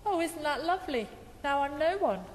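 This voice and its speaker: A woman puts on a grumbling Christmas present for a puppet show, fussy and sardonic. The voice is high and echoes in a hall. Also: animated, moderate pace.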